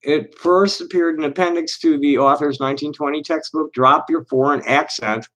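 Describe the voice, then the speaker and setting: A man hosting a solo livestream, reading aloud slowly and deliberately, then chatting in a halting, rambling way with many restarts. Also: on the high side, fairly even intonation, a bit bright. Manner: reads aloud with deliberate slow pauses. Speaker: livestream host. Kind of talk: casual solo livestream chat.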